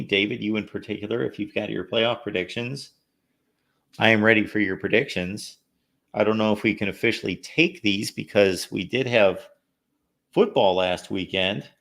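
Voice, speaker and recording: A man hosting a live call-in sports show, a little raspy from no sleep, chatting through viewer comments and game analysis. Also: lowish voice, fairly bright tone. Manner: reads viewer comments aloud, conversational analysis. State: slightly raspy from lack of sleep. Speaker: host.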